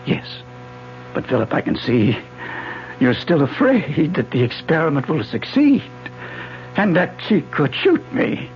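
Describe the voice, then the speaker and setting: A man's voice: a little high, huge pitch swings, unhurried, pauses often, a little hiss or hum, full character voice. An actor playing a retired older male physics professor, obsessive and insistent, in a radio drama lecturing style. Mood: persuasive. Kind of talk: 1970s radio drama acting. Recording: muffled old radio broadcast with music.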